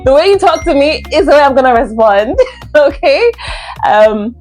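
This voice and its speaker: A woman's voice: high-pitched, animated, normal speed, a little mellow. A young woman from Nigeria with a Nigerian accent, talking earnestly to camera on her first vlog and repeating herself for emphasis, with faint music behind. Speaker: vlogger. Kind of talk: casual talk to camera.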